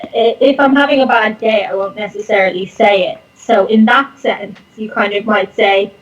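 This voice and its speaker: A woman's voice: mid-range voice, moderate pace, highs cut off. A woman chatting casually and unscripted as an interview guest, rambling along with self-deprecating humour. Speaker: interview guest, performer and online content creator.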